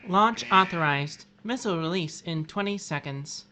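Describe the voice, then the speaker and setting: A woman's voice: fairly low pitch, moderate pace, noisy background, lightly acted. A woman playing a formal, impassive automated missile launch announcer in an audio drama. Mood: impassive countdown.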